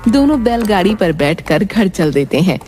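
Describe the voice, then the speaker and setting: A woman's voice: medium pitch, sing-song, normal speed, darkish tone. A woman narrating a scripted children's story. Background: faint music.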